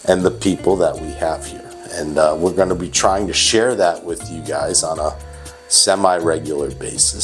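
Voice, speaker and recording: Man, very deep voice, chatty, teasing, interview host, faint music behind. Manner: chatty, teasing, many restarts. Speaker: company CEO hosting interview. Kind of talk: casual interview chat.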